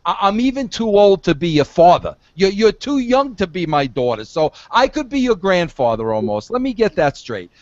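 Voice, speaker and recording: A man's voice: sits high, big pitch swings, normal speed, few pauses, no room sound, lo-fi sound. A man in his fifties hosting a podcast, coaxing and pushy, stumbling over his words and repeating himself. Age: 50s. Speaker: podcast host. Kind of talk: casual podcast chat.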